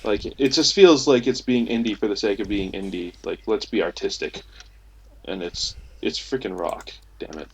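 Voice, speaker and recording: Low-voiced young man, noisy recording. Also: moderate pace, gravelly. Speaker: podcast panelist. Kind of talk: casual group discussion of shows. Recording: noisy amateur internet recording with clicks.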